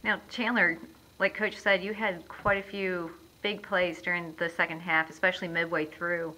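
Bright-voiced woman interviewer. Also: lowish voice, little pitch movement, moderate pace, low-quality recording. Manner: upbeat, leading questions. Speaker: team media interviewer. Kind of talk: press conference questions.

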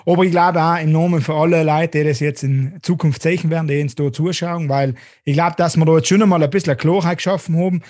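A man in his thirties with a South Tyrolean German accent, hosting an interview over a video call from a small dim room, talking haltingly with many restarts. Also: a little high, fairly even intonation, darkish tone, no echo, studio quality. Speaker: host. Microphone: video call webcam.

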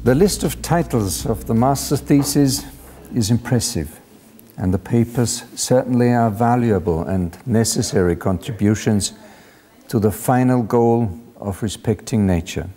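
An older man giving a deliberate, solemn formal speech as an academic at a lectern. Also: mid-range voice, dark tone. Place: lecture hall at a lectern.

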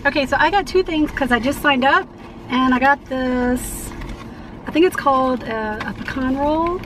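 A woman's voice: sits high, moderate pace. A woman in her forties chatting casually and informally as a vlog companion. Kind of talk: casual chat. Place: inside a car, outdoors, and at a restaurant patio. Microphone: camera at arm's length. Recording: noisy car and handheld camera audio.

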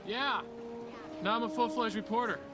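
An actor playing an earnest, easygoing young reporter chatting with friends. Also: male voice, sits high, moderate pace, close to an everyday voice. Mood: friendly. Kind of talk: TV drama acting. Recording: drama audio with music score.

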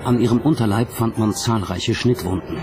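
A man's voice: lowish voice, normal speed, over loud music. A man reading scripted documentary narration as the narrator.

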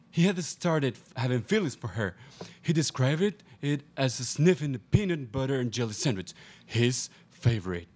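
Young man as husky, reluctant small-town priest. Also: mid-range voice, a little mellow. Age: young adult. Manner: hesitant, pained. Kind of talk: student radio theatre acting. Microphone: stage microphone. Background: acoustic guitar.

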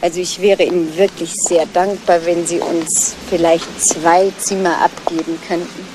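Actress as a chatty middle-aged housewife, noisy recording. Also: female voice, fairly low pitch, level tone, measured pace, lightly acted. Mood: eager, persuasive. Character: chatty, angling housewife and mother of teenagers. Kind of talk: 1970s German radio crime drama acting. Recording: noisy old radio play recording.

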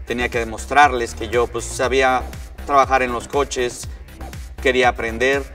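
A man with a Mexican Spanish accent, a crew chief talking in a measured way in an interview indoors. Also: mid-range voice, flat delivery, a bit bright, far from the mic. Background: music.

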